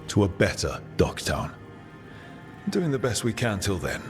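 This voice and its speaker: A man playing a steady, sympathetic adventurer hero in a video game, plain and direct. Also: on the low side, a little slow, music in the background. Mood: earnest.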